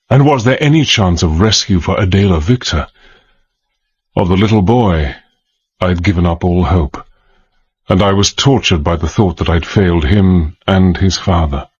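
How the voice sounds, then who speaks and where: A gentleman adventurer in a dramatized thriller. His husky, fairly deep voice is grave and confiding, with a tense edge suited to dangerous undercover work. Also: moderate pace, dull audio. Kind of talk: dramatized first-person narration.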